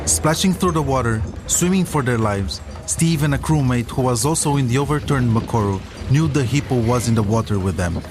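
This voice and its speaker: A man's voice: medium pitch, expressive, a little fast, few pauses, over music. A man delivering scripted documentary narration as a podcast narrator, telling a story in composed sentences.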